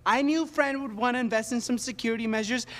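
A man playing a cocky, scheming younger brother in a video game, excitable, boastful and prone to wheedling. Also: very high-pitched, quick pace.

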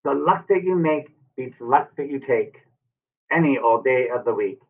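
A man's voice: mid-range voice, animated, unhurried. A man voicing a small, crafty, cheerful leprechaun in a storybook, teasing in singsong rhyme. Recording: distant, muffled webcam sound.

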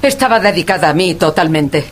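A woman's voice: fairly low pitch, lively intonation, a little fast. An actress playing an imperious, impatient aristocratic lady in a radio drama. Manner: imperious, haughty. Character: haughty, demanding aristocratic lady, impatient with servants.

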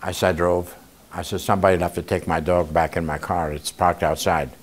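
A man's voice: deep voice, flat delivery, a little fast. Older man talking to students. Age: 70s. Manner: conversational, often checks in with listeners by asking "okay?". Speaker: Holocaust survivor speaking to students. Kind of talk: informal testimony talk to a student audience. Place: indoor museum room.

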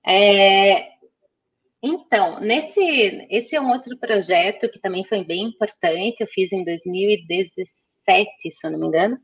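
A woman's voice: mid-range voice, a little slow, husky. Chatty young woman on a headset call. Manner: chatty, many fillers and restarts. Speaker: guest designer. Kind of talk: casual video-call interview. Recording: slightly dull lo-fi call audio.

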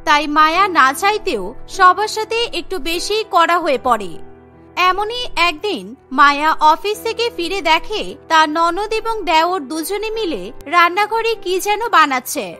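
A woman's voice: very high voice, expressive, moderate pace, pushed and bright, faint music behind. A woman narrating a scripted cartoon story.

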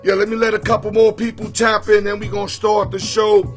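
A hoarse man with a fairly high voice chats casually on a home livestream, shouting out viewers and talking in loose street slang. He sounds close to a phone or webcam, with faint music playing underneath. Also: fairly quick. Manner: energetic, greets viewers by name, street slang. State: hoarse, losing his voice. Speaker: sports picks livestream host. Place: home room full of sports memorabilia with a TV. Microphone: phone or webcam at arm's length.

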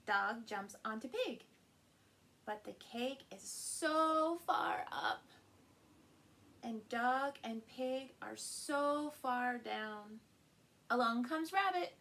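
A middle-aged woman with a fairly high voice tells a children's story very slowly. She reads expressively, playing up sound words and exclamations for young listeners. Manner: animated, slow, with playful sound words and exclamations. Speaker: storyteller and channel host. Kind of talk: expressive picture-book read-aloud for small children. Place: small furnished room indoors.

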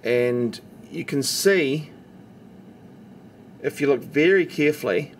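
A man's voice: medium pitch, takes it slowly. A man giving a casual, instructional narration, explaining carefully step by step as the presenter of a tutorial. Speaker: knife maker presenting a tutorial. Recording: steady background noise, homemade recording. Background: noticeable background noise.

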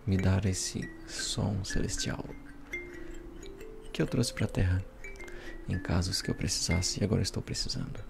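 A young man with a Brazilian accent playing a smug, playful devil in a soft-spoken, teasing ASMR roleplay. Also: low voice, a little slow, gravelly, long pauses, over music, exaggerated voice. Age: young adult. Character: smug, playful devil helping police as a consultant. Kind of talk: ASMR roleplay, soft talk mixed with whispering.

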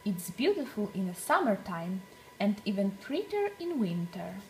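A woman reading a storybook aloud for young children, with deliberate, expressive phrasing. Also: medium pitch, over loud music, poor audio.

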